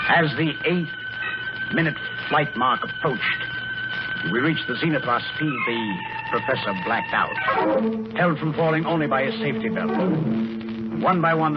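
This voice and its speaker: Male spaceship officer and narrator, commanding and earnest, vintage radio drama, dull sound, loud music bed. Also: fairly high pitch. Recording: muffled 1940s radio recording, loud music.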